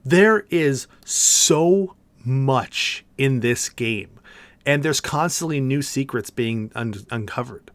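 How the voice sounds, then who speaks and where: A man's voice: medium pitch, lively intonation, takes it slowly, no echo. A man co-hosting a podcast, chatting casually and enthusiastically about video games in an informal way. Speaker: podcast co-host. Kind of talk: casual video game discussion.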